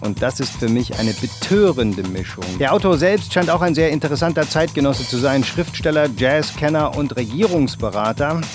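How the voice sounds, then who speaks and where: A man reading a novel excerpt aloud with theatrical swings between loud bursts and hushed lines, then reviewing the book for the radio. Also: a little high, lively intonation, moderate pace, hardly any pauses, music in the background.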